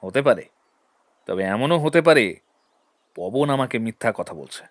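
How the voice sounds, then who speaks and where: An actor playing a shrewd, suspicious lawyer-detective in an audio drama, questioning in a measured, deliberate way. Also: male voice, mid-range voice, lively intonation, no echo, natural voice. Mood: pondering.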